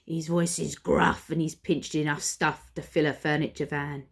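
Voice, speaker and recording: A woman's voice: deep voice, flat delivery, moderate pace, vocal fry, husky. A woman reading a rhyming picture book aloud to children in a theatrical, playful way. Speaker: primary school storyteller.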